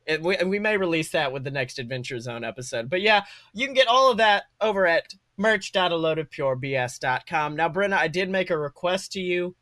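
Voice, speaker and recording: A podcast co-host chatting casually in an animated, sweary way. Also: mid-range voice, a little fast, hardly any pauses, dry recording.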